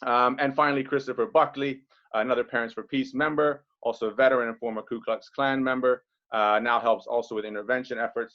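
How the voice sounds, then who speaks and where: A man moderating an online panel discussion, introducing the speakers in a conversational way with frequent fillers. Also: medium pitch. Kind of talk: introducing panelists on a video call. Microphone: video call. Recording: slightly dull video call audio.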